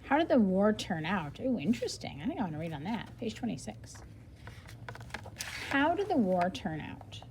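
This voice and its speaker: A woman reading a nonfiction book aloud as a lesson for children, expressively, pausing to explain things simply and think out loud. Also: mid-range voice, sing-song, slight background noise.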